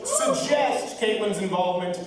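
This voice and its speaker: A man's voice: sits high, wildly swooping pitch, a little slow, slight background noise. A man hosting a live comedy show, sarcastically joking through news items to an audience. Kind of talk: live stand-up style news riffing. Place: live venue stage before an audience. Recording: echoey hall from far off with loud crowd.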